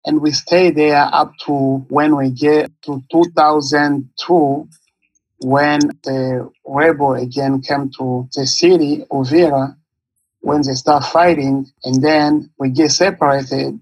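A man in his thirties from Congo, a guest in an interview, telling his life story in halting with pauses as he searches for words. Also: a little high, steady tone, darkish tone, husky, no room sound, rough recording. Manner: halting, searching for words, non-native English phrasing. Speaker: guest, nonprofit founder.

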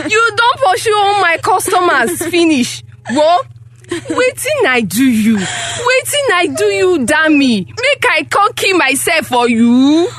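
A woman's voice: high-pitched, a bit bright, full character voice. A woman with a Nigerian accent playing a harsh, accusing mother who runs a small shop, in a Pidgin drama. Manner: scolding, exclaiming, emphatic rhetorical questions.

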